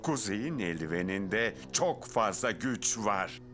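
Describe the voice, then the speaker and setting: An actor voicing a vain, fussy, power-hungry villain prince in a cartoon dub. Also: male voice, on the low side, lively intonation, takes it slowly, a bit bright. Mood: imperious. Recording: music clearly behind.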